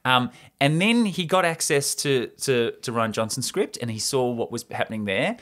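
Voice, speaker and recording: A man co-hosts a casual film podcast at an average male pitch. He speaks close to the microphone and tells things like an enthusiastic storyteller, slipping into acted-out remarks. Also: lively intonation, moderate pace, fairly bright tone. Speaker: podcast host.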